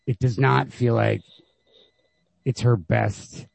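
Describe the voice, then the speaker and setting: A man's voice: medium pitch, fairly even intonation, slow, creaky, darkish tone, dry recording, low-quality recording. A man co-hosting a podcast, casually discussing literature and thinking aloud in circling, hedged phrases. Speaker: podcast co-host.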